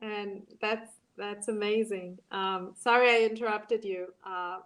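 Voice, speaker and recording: A woman, moderating an online panel and talking in a halting way, full of ums and restarts. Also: mid-range voice, steady tone, a bit bright. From Brazil.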